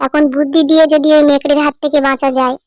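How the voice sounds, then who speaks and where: A woman's voice: high-pitched, little pitch movement, racing, darkish tone, no echo, clean studio sound, natural voice. A woman voicing a clever little mother sparrow in a cartoon, coaxing and persuasive. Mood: soothing, relieved. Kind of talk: cartoon voice acting.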